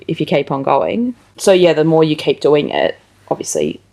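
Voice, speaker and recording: A woman's voice: deep voice, wildly swooping pitch, moderate pace, gravelly, dark tone. A woman hosting a casual interview, phrasing her thoughts in a tentative, rambling way. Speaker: interview show host, musician. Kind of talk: casual chat.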